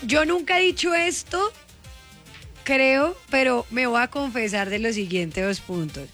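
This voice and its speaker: A woman's voice: on the high side, unhurried, fairly bright tone. A woman with a Colombian accent hosting a radio show in casual, teasing banter. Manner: playful, teasing. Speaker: radio host. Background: music.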